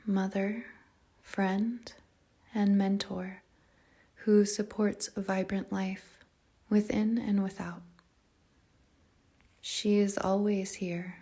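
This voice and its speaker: Slow, breathy, husky woman guiding meditation. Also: mid-range voice. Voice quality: breathy, husky, soft with whispery edges. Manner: calm, measured, gentle, with short phrases and long pauses. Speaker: yoga nidra meditation guide. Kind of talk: guided meditation, slow soothing narration.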